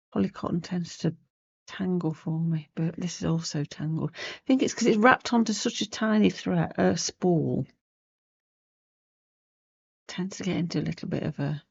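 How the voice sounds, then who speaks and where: A woman's voice: on the low side, vocal fry, a little mellow, husky, highs cut off. A woman with a sniffly cold, chatting casually as she demonstrates a sewing technique, rambling and losing her thread at times. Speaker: crafting channel host. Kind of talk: casual sewing tutorial chat.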